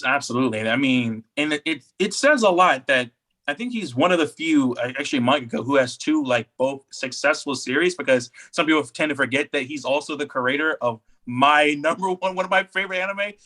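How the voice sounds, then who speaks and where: A man's voice: a little high, fairly quick, bright, forceful tone. A man co-hosting a podcast in casual, enthusiastic chat, talking emphatically and repeating himself to make a point. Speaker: podcast co-host.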